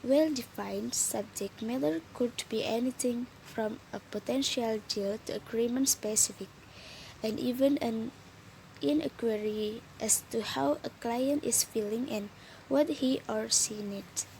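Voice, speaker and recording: A young woman reading a scripted lesson as a student presenter, in a careful, slightly halting way. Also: fairly high pitch, creaky, a little mellow, slight background noise, poor audio. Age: young adult.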